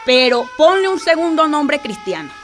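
A woman's voice: high-pitched, sing-song, normal speed, faint music behind. An actress with a Venezuelan accent playing a devout, folksy country wife and mother in a radio drama, colloquial and exclamatory. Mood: agreeable, earnest.

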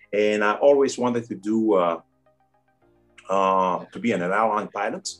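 Cameroonian-accented man, low voice. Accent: Cameroonian French. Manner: earnest, halting with frequent fillers. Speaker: airline first officer, guest. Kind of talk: interview answers.

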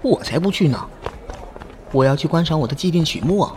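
Man playing high-voiced lovestruck young student. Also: expressive, fairly quick, darkish tone, a little hiss or hum, close to an everyday voice. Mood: sheepish, secretive. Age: young adult. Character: lovestruck shy male university student, secretly pining. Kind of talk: audio drama acting with inner monologue. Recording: voice over background music.

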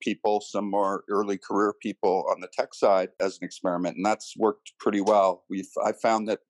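A man's voice: lowish voice, little pitch movement, moderate pace, no echo. A man talking conversationally as an interview guest who leads a tech team.

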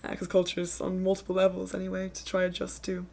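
A young woman hosts a casual student discussion. Her voice sits a little low and stays quite flat in melody, and she hedges as she thinks aloud. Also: quick pace, creaky. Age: young adult. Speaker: student host asking questions. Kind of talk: casual chat.

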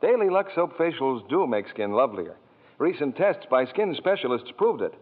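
Animated American radio ad announcer, male. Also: medium pitch, moderate pace. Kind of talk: 1940s scripted radio ad read. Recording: muffled, narrow-band old radio broadcast.

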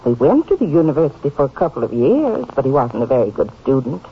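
An actress playing a worried, obliging small-town American aunt in a 1950s radio drama, talkative and polite. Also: female voice, extremely low pitch, big pitch swings, fast talker, slightly rough, exaggerated voice. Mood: troubled. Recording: muffled old radio recording.

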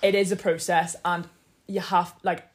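Young woman, halting, slightly deep voice. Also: little pitch movement. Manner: hesitant, restarts often, thinking aloud. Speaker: podcast co-host. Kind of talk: casual chat.

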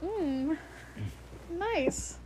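High-voiced young woman interviewer. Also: expressive, drawn-out words, a little mellow, a little hiss or hum, rough recording. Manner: playful, teasing questions. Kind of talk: casual home interview.

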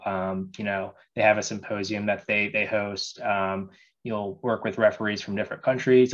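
A man's voice: lowish voice, fairly bright tone. A young man, a referee guest, talking casually in an interview with lots of hesitant fillers. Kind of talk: casual video-call interview. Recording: dull lo-fi sound.

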